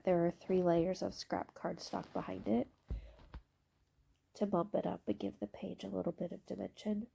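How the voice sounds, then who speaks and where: A woman with a stuffy, congested voice narrating her scrapbooking process video, explaining her supplies in a relaxed way with occasional ums. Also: medium pitch, creaky. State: stuffy, congested from allergy shots.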